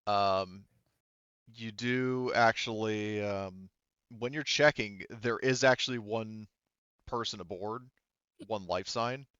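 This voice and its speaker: A man with a fairly low, very smooth voice runs a tabletop game. He speaks haltingly, working things out as he goes. The sound is dry and a little dull, like online voice chat. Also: a bit bright, dry recording. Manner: halting, thinking aloud. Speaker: game master. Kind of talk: unscripted tabletop game narration.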